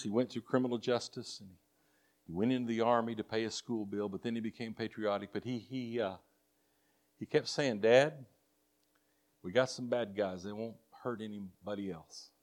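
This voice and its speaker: A man's voice: on the low side, darkish tone. An older man with a Texas drawl preaches to a congregation, weaving folksy personal stories into his sermon. He talks earnestly, often restarting and repeating words as he goes. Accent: Texan. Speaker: missionary preacher.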